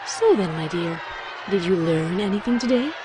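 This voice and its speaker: A woman's voice: on the low side, lively intonation, normal speed, natural voice. A woman voicing a regal, self-assured pony princess in a cartoon audio drama, airy and condescending in an indulgent way. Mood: serene, indulgent. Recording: noisy track with busy crowd ambience.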